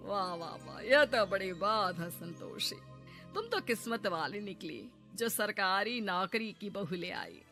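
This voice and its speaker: A woman's voice: medium pitch, expressive, fairly bright tone. Cartoon casting: female performer as teasing male priest, jolly, gossipy, drawling delivery, faint music bed. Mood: impressed. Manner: drawn-out, chuckling, gossipy. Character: jovial teasing temple priest man. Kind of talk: comic Hindi cartoon dialogue.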